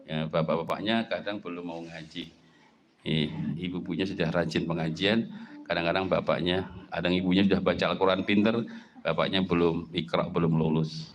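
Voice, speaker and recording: A middle-aged Javanese man giving a lively sermon, with a Javanese accent, often jumping up in pitch for emphasis and asking rhetorical questions. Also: normal speed, lo-fi sound, a little hiss or hum. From Java, Indonesia. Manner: animated, swings pitch up for emphasis, rhetorical questions, mimics speech. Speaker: Islamic preacher.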